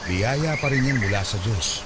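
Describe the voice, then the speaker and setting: A man's voice: medium pitch, expressive, measured pace, very noisy, close to an everyday voice. A man acting a humble, tender father of a poor family in a Tagalog radio drama. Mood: grateful, wistful. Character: gentle, poor, apologetic, devout Filipino father. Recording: loud music bed under voice.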